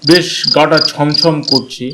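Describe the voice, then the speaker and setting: A man's voice: a little high, fairly even intonation, a little slow, very noisy, lightly acted. A man voicing a callous, blustering village landlord in a cartoon story. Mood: nervous, frightened. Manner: haughty, blustering. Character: callous, bullying village landlord, cowardly when scared.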